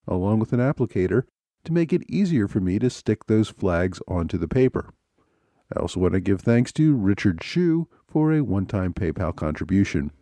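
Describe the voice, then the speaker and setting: A man hosting a history podcast, talking in a measured, unhurried way, his voice a little scratchy from a cold. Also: on the low side, dark tone, no room sound. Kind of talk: solo podcast talk.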